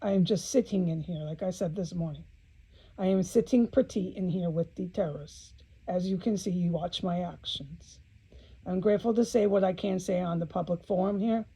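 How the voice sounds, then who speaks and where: Woman, low-lit mellow tone, raspy edge, informal monologue, emphatic, sweary, aggrieved, repetitive phrasing. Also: moderate pace, poor audio. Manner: blunt, profanity-laden, emphatic, repeating phrases. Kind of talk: informal spoken video monologue to viewers.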